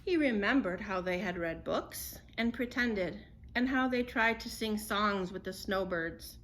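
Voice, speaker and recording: A woman storyteller reading a children's picture book aloud in an expressive, unhurried way. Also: mid-range voice.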